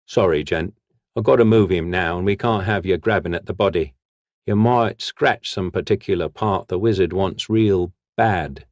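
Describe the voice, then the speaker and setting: A man's voice: low voice, moderate pace, a little mellow, no echo. Hulking, drawling, politely menacing thug for a storybook, voiced by a male narrator. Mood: brisk.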